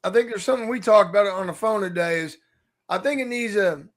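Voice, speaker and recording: A man who coaches high school basketball, talking through rules in a thoughtful, searching way on a casual podcast. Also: fast. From Oklahoma. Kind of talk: casual sports chat.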